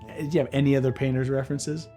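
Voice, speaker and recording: A man reading a children's story aloud in an animated, expressive way, with playful banter as a co-host. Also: mid-range voice, racing, soft background music. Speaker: children's book reader and comedy co-host.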